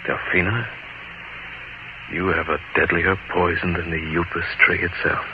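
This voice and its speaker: An actor playing a weathered seafaring adventurer telling his tale gravely and deliberately, in old-time radio drama style. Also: low-pitched, little pitch movement, background noise. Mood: torn, restrained. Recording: muffled vintage radio broadcast, music behind.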